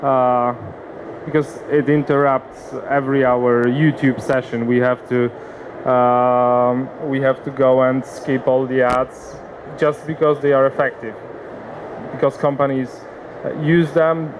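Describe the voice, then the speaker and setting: A man with a Polish accent giving a seminar talk, hesitant, with many pauses and restarts. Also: mid-range voice, little pitch movement, noisy background. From Poland. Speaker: conference speaker. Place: conference hall.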